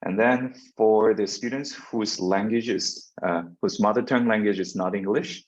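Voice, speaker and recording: A man's voice: fairly low pitch, normal speed. A man whose first language is Korean, giving a university lecture with many fillers and restarts. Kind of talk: classroom lecture. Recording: dull lo-fi lecture recording.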